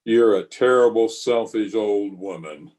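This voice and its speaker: A weary frontier lawman, played by a man in a radio western. The voice is on the low side, grave and plainspoken. He sounds burdened by conscience, with no bluster at all. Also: a little slow, background noise, lightly acted. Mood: bitter. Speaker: actor and narrator in an amateur radio play. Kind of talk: old-time radio western acting. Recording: voice recorded over an internet call.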